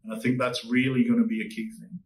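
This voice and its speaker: A man with a Newcastle accent speaks at a middle pitch, answering interview questions in a measured way, pausing on ums and restarting phrases. He sits a little back from the microphone, so his voice sounds slightly distant. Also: flat delivery. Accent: Newcastle English. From England. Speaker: consulting executive.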